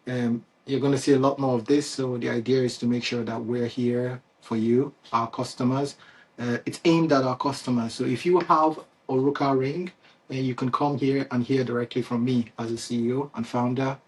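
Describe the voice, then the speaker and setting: A man's voice: mid-range voice, steady tone, normal speed, husky. A man with a Nigerian accent, a company founder talking casually and unscripted to his customers, with frequent fillers and restarts. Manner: conversational, many fillers and restarts, chuckles often. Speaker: CEO and founder hosting a company Q&A.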